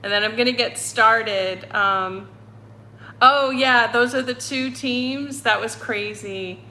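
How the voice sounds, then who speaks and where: Slow, bright-voiced chatty woman livestreaming. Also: on the high side. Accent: slight Boston. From North Andover, Massachusetts. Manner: chatty, rambling, many ums and self-corrections. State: hot and sweaty. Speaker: medium hosting a livestream. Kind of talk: casual livestream chat with viewers. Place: apartment with broken air conditioning.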